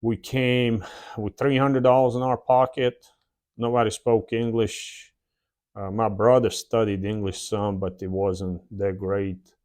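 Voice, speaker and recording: Man, Slavic accent with a Southern drawl, fairly low voice, unhurried storytelling, lots of uh pauses. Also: level tone, darkish tone. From former Yugoslavia. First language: a South Slavic language. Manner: plain, unhurried, frequent "uh" pauses. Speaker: channel host. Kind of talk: casual personal storytelling. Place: home workshop shed.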